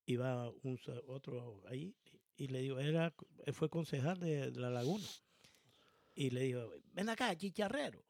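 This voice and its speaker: A man's voice: medium pitch, animated, normal speed, gravelly, darkish tone, dry recording, studio quality. A man with a Canary Islands accent chatting in colloquial, anecdotal style, teasing and acting out bits of dialogue. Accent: Canary Islands Spanish. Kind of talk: casual anecdotal chat.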